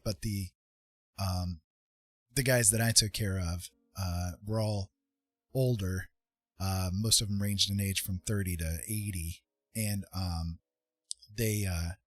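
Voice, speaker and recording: A man's voice: deep voice, breathy edge, no echo. A middle-aged man chatting casually as a livestream host, earnest, hesitant and reflective, thinking as he talks. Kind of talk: casual personal chat.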